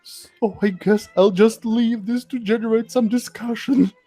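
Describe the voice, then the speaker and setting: High-pitched man reading theatrically over music. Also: fairly even intonation, measured pace, mellow, breathy edge. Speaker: streamer reading a social media thread aloud. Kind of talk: mock-dramatic reading with amused asides. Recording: music playing behind the voice. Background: background music.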